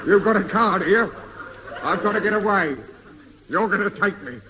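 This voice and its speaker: An actor playing a menacing escaped Cockney convict in an old British radio comedy. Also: male voice, sits high, moderate pace, pushed and bright. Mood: threatening. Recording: hissy old radio recording, reverberant.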